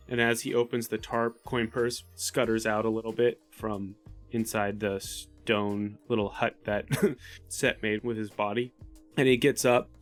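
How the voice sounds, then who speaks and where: A man playing a tabletop roleplaying game, casually improvising narration in a halting, thinking-aloud way. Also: lowish voice. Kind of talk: casual improvised narration of his character's actions.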